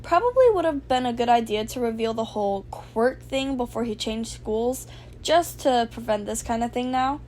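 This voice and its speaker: A woman reading fan fiction aloud as an amateur narrator, in an expressive storytelling style. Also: fairly high pitch, normal speed. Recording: faint background noise.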